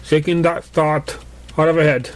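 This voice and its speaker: A man's voice: a little high, level tone, moderate pace. A man reading a story aloud as an amateur narrator, now and then stumbling over the words. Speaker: amateur fan fiction reader.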